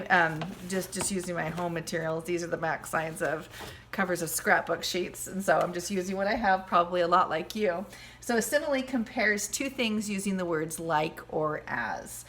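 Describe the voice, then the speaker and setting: Bright-voiced woman teaching young children. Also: on the low side. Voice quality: slightly scratchy. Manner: explains simply, addressing young children. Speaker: second-grade teacher. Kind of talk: teaching a lesson and reading a picture book aloud. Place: at home.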